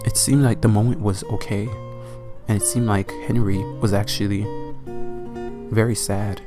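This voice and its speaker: A man's voice: on the low side, little pitch movement, music in the background. A man narrating a comic horror story as a casual, foul-mouthed storyteller, leaning on words for emphasis. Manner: profane, informal, drawn-out emphasis.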